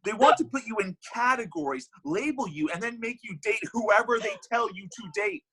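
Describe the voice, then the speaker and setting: A man playing an indignant, needy underdog matchmaker, emphatic and plaintive, with a husky voice, in a comedy read. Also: high-pitched, very animated, brisk, a crowd in the background. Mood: indignant, rallying. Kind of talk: comedy script table read.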